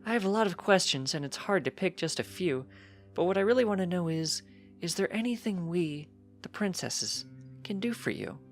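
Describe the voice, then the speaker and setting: A narrator puts on a young storybook pony princess in a lifted, high voice. She is friendly, curious and talkative, polite and earnest, with music behind. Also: expressive, moderate pace, natural voice.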